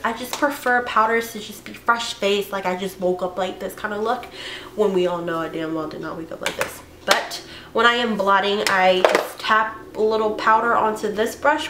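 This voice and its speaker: Hoarse sick-sounding young woman rambling about makeup, roomy echo, bit of hiss. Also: mid-range voice. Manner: chatty, rambling, with fillers and self-corrections. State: hoarse from a cold. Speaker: beauty vlogger. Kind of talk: casual makeup tutorial chat.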